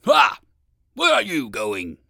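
Woman voicing a slow, sly storybook bear. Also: low voice, huge pitch swings, gravelly, fairly bright tone, no room sound, studio quality, exaggerated voice. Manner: slow, coaxing, menacing salesman.

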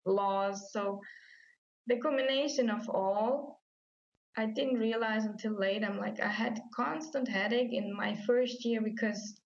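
A woman's voice: mid-range voice, gravelly. A woman from Austria with an Austrian German accent, a native German speaker telling her story as an interview guest and acting out her own past thoughts. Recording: slightly dull lo-fi sound.

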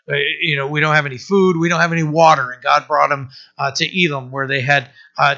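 A man with a scratchy, bright, forward voice teaches a Bible chapter as a pastor. He talks in a loose, casual way and stumbles through lots of fillers and restarts, now and then clearing his throat. The recording sounds a bit dull and lo-fi. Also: fairly high pitch. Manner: halting, many uh fillers and restarts.

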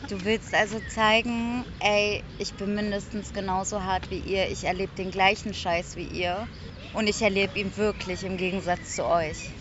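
A woman's voice: mid-range voice, steady tone, normal speed. A woman interviewing casually for a podcast, recorded outdoors in a park. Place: outdoors on a picnic blanket in a park. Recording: dull outdoor recording, faint city noise.

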